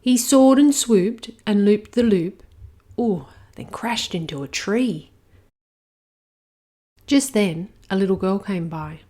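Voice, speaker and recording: A woman reading a rhyming children's picture book aloud, expressive and even. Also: medium pitch. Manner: slow, expressive rhymed reading. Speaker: storyteller.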